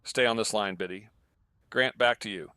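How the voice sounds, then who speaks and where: A man playing a careful, earnest correspondent in a radio news drama, speaking in a measured way. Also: on the low side, pushed and bright, smooth, no echo, studio quality.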